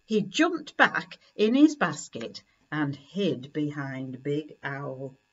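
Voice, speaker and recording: Slow, animated woman storyteller. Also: deep voice, muffled recording. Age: middle-aged. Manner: deliberate, expressive. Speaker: story reader. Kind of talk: children's picture-book reading. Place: living room at home, indoors.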